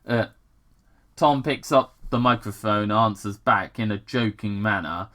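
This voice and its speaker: A man's voice: lowish voice, a little slow, fairly bright tone. A man casually reading fan fiction aloud with commentary, stumbling over words, repeating himself and swearing in asides.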